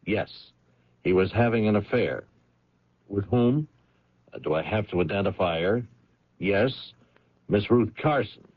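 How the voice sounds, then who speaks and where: A man playing a probing, skeptical police lieutenant interrogating people in a radio drama, with pointed questions and deliberate pauses. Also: on the low side, lively intonation, husky, no echo. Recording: dull old radio recording.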